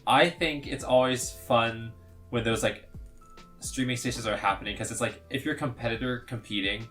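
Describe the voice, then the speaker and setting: A man's voice: medium pitch, normal speed, a bit bright, soft background music. A young man co-hosting a casual podcast, easygoing and hedging his points with lots of "like". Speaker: podcast co-host. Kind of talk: casual video-call podcast chat.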